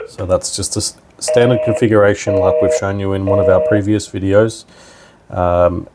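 A man's voice: low-pitched, a little mellow, slight background noise. A man narrating a hands-on product tutorial in an informal, step-by-step way. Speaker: product demonstrator.

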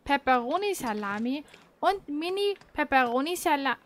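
A woman's voice: high voice, very animated, normal speed. A woman chatting casually and teasingly as co-host of a gaming session. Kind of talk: casual chat. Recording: clear background noise.

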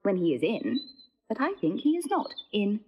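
An actress playing a witty, teasing young woman in a stage play, with a husky voice and an ironic manner. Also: medium pitch, wildly swooping pitch, moderate pace, dull audio.

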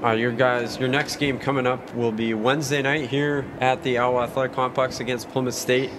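A man's voice: mid-range voice, flat delivery, normal speed, background noise. A man who hosts a college sports broadcast, interviewing a coach, reading sponsors and wrapping up the game in an upbeat style.

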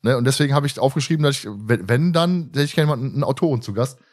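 A man's voice: medium pitch, racing, a little mellow. A man hosting a casual fan podcast, talking in a rambling way and often repeating words and phrases. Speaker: podcast host.

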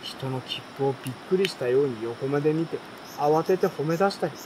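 A young adult man reading a bedtime story aloud in a relaxed, unhurried way, with pauses between short phrases. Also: a little high, noisy background. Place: outdoors at night beside a campfire. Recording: campfire, insects and stream behind voice.

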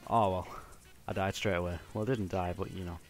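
A young British man commentates on his gameplay in a fairly low voice. He is casual, offhand and quick to swear, with faint music underneath. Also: fairly even intonation. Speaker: let's play commentator.

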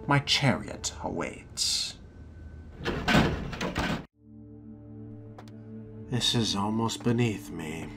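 A man voicing a sly, haughty vampire fledgling who muses to himself in a hushed, gravelly, theatrical whisper during a game role-play. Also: fairly low pitch, lively intonation, loud music behind the voice. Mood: bored disdain. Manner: slow, theatrical, wry, formal wording.

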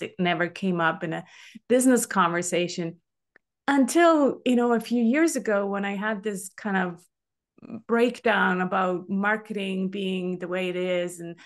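Swiss-born woman, middle-aged, chatty, lots of fillers, webcam at home, mid-pitch. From Switzerland. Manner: chatty, open storytelling with frequent fillers. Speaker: guest. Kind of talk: casual conversation on a video call. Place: home room, indoors. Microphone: webcam on a video call.

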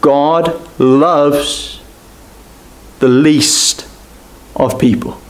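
A young man preaching a sermon at a lectern, animated and gesturing, dropping to near-whispers at times. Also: on the high side, very slow. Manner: animated, gesturing preaching with sharp drops to quiet. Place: church hall at a lectern. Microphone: lectern mic from a distance. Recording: echoey church room.

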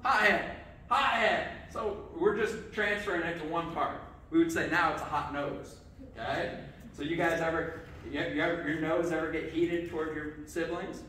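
A man's voice: a little high, moderate pace, slight background noise. A middle-aged man giving a children's sermon, asking the kids questions and checking in with "okay" as he goes. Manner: lively, asks the kids questions, says okay often. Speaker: pastor. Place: church sanctuary with congregation. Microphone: far camera. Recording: echoey church from far off.

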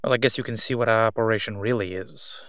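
A man playing a slick middle-aged crime boss in a roleplay audio drama, with a sarcastic, condescending, smooth-talking delivery. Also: lowish voice, fast, a bit bright, dry recording, studio quality. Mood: conspiratorial, smug. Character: slick middle-aged male crime boss, mocking, sly, self-assured.

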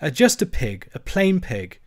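Brisk, kindly rat, read by a man, hearty and reassuring, storybook voice. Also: a little high, huge pitch swings, pitched above the performer's own voice, heavily acted.

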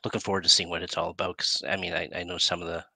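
Low-voiced middle-aged man, bright tone. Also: flat delivery, racing, gravelly, slightly rough, dry recording. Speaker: interview host. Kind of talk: casual chat. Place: indoor room, video call. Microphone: headset.

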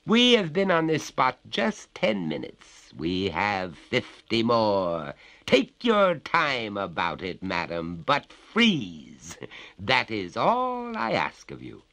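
A courteous young gentleman of a storybook manor, acted by a man. He speaks formally and firmly, with lively swings of pitch and an unhurried, coolly determined delivery. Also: heavily acted. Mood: coldly triumphant.

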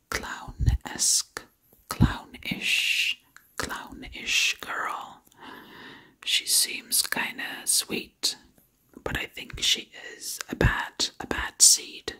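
A woman doing ASMR storytelling in a hushed, breathy whisper, lingering on words and repeating them. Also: drawn-out words. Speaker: ASMR creator.

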